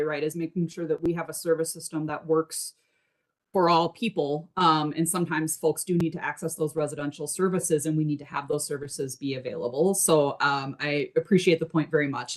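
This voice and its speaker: A woman from a state agency giving a measured, diplomatic response on a video call. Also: sits low. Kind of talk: official response in meeting.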